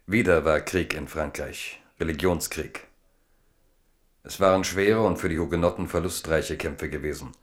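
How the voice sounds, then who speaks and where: Male narrator for radio drama: very low voice, measured literary delivery. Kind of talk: scripted narration.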